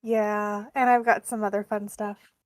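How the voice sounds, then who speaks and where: A woman banters as a player in a tabletop game, deadpan and casual. Her mid-pitched voice stays quite flat, and the recording is dry. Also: unhurried, no echo.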